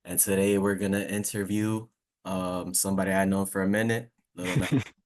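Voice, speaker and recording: Casting: relaxed male interviewer, lowish voice, slangy easygoing questions. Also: normal speed. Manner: relaxed, slangy, short affirmations. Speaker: interview host. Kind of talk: casual interview.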